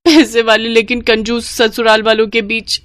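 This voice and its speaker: A woman's voice: on the high side, little pitch movement, normal speed, fairly bright tone, no room sound, lightly acted. A woman voicing a polite, dismayed young bride in a cartoon story, plaintive and deferential. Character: polite, dismayed young new daughter-in-law.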